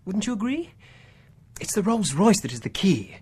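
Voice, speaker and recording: An actor playing a diplomatic English gentleman in a radio drama, polite, measured and formal. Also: sits high, very animated, slightly rough, exaggerated voice. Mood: diplomatic, assured. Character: urbane, diplomatic English gentleman, smoothly reassuring. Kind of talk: naturalistic radio drama acting.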